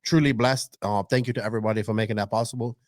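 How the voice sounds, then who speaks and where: A man hosting a casual podcast, animated and teasing, rambling through his stories. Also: lowish voice, very fast, no echo, clean studio sound. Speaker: podcast host. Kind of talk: casual chat.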